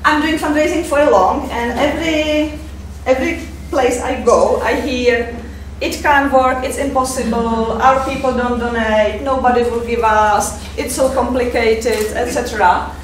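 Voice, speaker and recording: A woman in her thirties with a Czech accent gives a fundraising presentation, halting with many fillers, recorded from afar in a seminar room. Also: a little high, fairly even intonation, distant mic, a little hiss or hum. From Czech Republic. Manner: hesitant, many fillers, halting non-native English. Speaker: fundraiser giving a conference talk. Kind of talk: presentation talk. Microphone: far camera mic. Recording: echoey room, picked up from afar.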